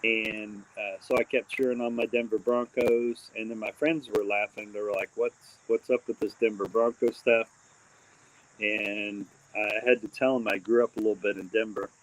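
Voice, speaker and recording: A man's voice: lowish voice, flat delivery, moderate pace, rough recording. A man hosting a casual live stream, rambling with lots of fillers and restarts, with crickets chirping behind him. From born in Texas, raised mostly in Indiana. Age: adult with a daughter. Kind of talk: casual rambling livestream chat.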